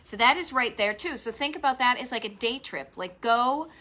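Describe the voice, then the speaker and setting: A woman's voice: on the high side, normal speed, sharp tone. A middle-aged woman hosting a casual live stream, chatting in a rambling way and turning aside to greet viewers. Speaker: host of a live travel show.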